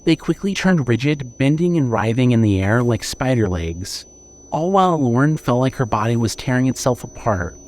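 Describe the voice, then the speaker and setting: Man, horror audiobook-style narration, mid-pitched, dramatic, measured, faint background ambience. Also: animated, a little hiss or hum. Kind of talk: scripted horror fiction narration.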